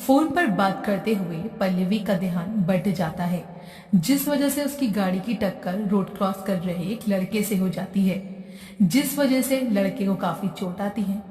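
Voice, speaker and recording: A woman's voice: mid-range voice, a little slow, dark tone. A woman reading scripted narration for a moral story as the narrator. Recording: echoey hall-like reverb, distant.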